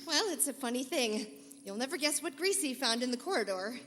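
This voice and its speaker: A woman's voice: sits high, lively intonation, a little fast. A woman playing a feisty, sassy young reporter who talks firmly and sarcastically in a live pulp sci-fi radio comedy. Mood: wry. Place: live convention stage. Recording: echoey hall, light hum.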